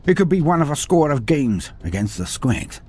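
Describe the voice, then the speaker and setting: A man reading a scripted story aloud with dramatic, expressive delivery. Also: on the high side, normal speed, faint music behind. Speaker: narrator.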